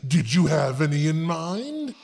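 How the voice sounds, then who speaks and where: An actor playing a theatrical, gleeful ghoulish librarian uncle hosting a horror anthology in a hammy, drawn-out way. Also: medium pitch, very animated, gravelly, darkish tone, slight background noise. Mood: delighted, gleeful. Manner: hammy, drawn-out, swooping delivery. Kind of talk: horror anthology host acting.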